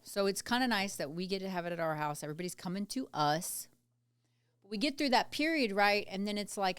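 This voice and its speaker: A woman's voice: medium pitch, dry recording. A woman in her late thirties co-hosting a podcast, chatting animatedly and swearing casually. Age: 30s. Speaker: podcast co-host.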